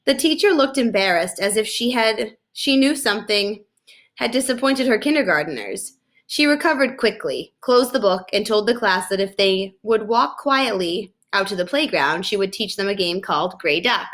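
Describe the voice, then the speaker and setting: A woman narrating a children's book aloud in an expressive storytelling style. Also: mid-range voice, moderate pace. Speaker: storybook reader.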